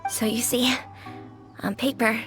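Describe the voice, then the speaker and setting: A woman's voice: a little high, little pitch movement, a little slow, a bit bright. A woman playing a chatty, down-to-earth restaurant owner in a video game, confiding and hospitable. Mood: sincere, confiding. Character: friendly, down-to-earth woman running a neighbourhood restaurant. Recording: clean studio voice under game music. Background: game background music.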